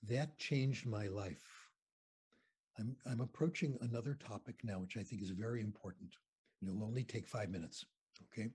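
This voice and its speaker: An older American man, a guest on a video call interview, telling anecdotes in an animated, conversational way from a home room. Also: lowish voice, normal speed, husky. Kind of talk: storytelling lecture on a video call. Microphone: video call webcam.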